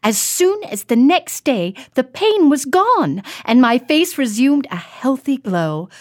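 An actress playing a delighted, gushing satisfied customer in an old-time radio commercial. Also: female voice, high-pitched, very animated, slow, exaggerated voice. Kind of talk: vintage radio commercial acting.

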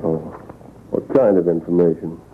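A crooked frontier freight boss acted by a man with a low, folksy voice. He is guarded and plainspoken, straight out of vintage radio drama. The sound is tinny with clear noise. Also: steady tone, normal speed, close to an everyday voice. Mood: guardedly affable. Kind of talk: 1940s-50s radio drama acting.

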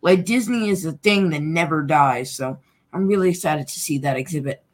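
Husky-voiced playful woman co-host. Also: deep voice, moderate pace. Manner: playful, teasing. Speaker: podcast co-host. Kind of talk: casual podcast conversation.

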